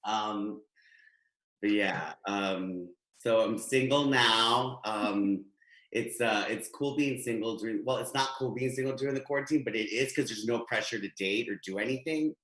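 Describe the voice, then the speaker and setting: Animated male stand-up on a webcam; bright tone, roomy echo. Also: lowish voice, moderate pace. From Guatemala. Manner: chatty, animated, gesturing. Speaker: stand-up comedian. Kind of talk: stand-up set. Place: home room. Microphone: webcam, video call. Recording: echoey home room.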